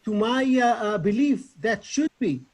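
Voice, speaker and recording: A middle-aged man with an Israeli accent giving an informal online lecture from home over a video call, speaking haltingly with many pauses and restarts. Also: high-pitched, animated, a little mellow. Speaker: online session presenter.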